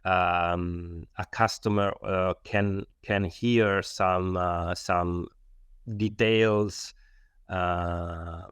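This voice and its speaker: A man's voice: low voice, steady tone, drawn-out words, a bit bright, smooth, no room sound, clean studio sound. A man with an Italian accent, a non-native English speaker, giving explanatory interview answers and often searching for words. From Italy. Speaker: loudspeaker designer, interview guest.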